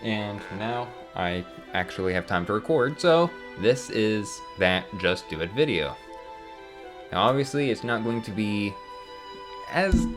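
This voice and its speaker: A young man, a channel host, giving a casual, rambling and self-deprecating spoken intro. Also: on the low side.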